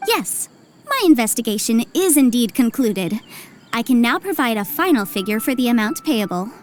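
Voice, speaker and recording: Brief: young female legal adviser, game cutscene, high and lively, formal and assured. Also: moderate pace, slight background noise. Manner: crisp, formal, lawyerly phrasing. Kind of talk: video game cutscene voice acting.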